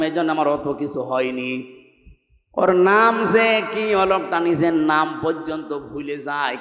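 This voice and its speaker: A Bangladeshi man preaching an Islamic sermon to a gathering in an emphatic, exhorting way, swinging between raised cries and hushed lines over a public-address microphone. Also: a little high. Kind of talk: sermon to a public gathering, with chanted scripture recitation. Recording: echoing loudspeaker sound, long reverb.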